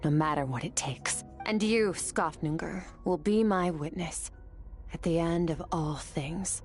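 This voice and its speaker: A woman voicing a stoic, resolute young swordswoman in a video game, with a measured, solemn delivery. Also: fairly low pitch, over music, lightly acted. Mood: cool, resolute.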